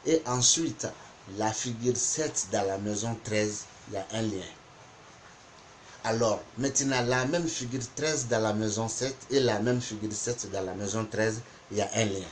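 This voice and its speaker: A man whose first language is not French, teaching a spoken lesson on interpretation, deliberate and repeating points for emphasis. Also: fairly low pitch, slight background noise. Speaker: geomancy teacher.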